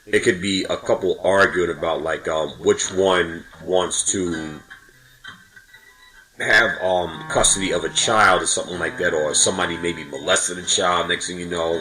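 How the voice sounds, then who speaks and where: A man's voice: sits low, fairly even intonation, moderate pace, pushed and bright, low-quality recording. A man co-hosting a podcast, recalling things casually in a hesitant, rambling way. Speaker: podcast co-host. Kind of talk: casual conversational recollection.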